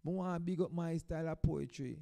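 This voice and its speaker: A man's voice: a little high, brisk, vocal fry, mellow. A man with a Jamaican accent speaking in Patois as a performing poet, telling stories to an audience in short phrases with emphatic swells. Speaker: dub poet performer introducing a live event. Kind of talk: informal stage talk, storytelling to an audience.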